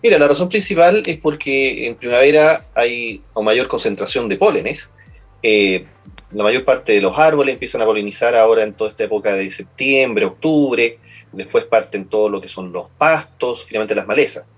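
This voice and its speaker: Chilean doctor guy, husky voice, explains slow and patient, stumbles on words a lot. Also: mid-range voice, soft background music. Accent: Chilean Spanish. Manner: patient, repeats words while finding phrasing. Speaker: pulmonologist guest. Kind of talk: explanatory interview answers.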